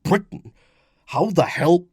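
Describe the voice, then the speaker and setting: A man voicing a Roman commander and father in an audiobook, gruff, affectionate and authoritative. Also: on the low side, sing-song, moderate pace, dark tone, no room sound, clean studio sound. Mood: grumbling. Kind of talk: audiobook character dialogue.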